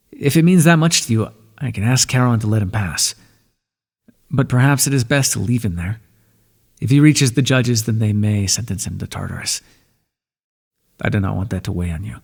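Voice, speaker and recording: An ancient lord of the dead, played by a man, speaks softly and tenderly, his husky, breathy voice sitting at a middle pitch. His measured, reassuring words trail off into a long, lingering reverb. It sounds like an ASMR roleplay. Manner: tender and reassuring toward the listener. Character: calm, ancient male god of the underworld.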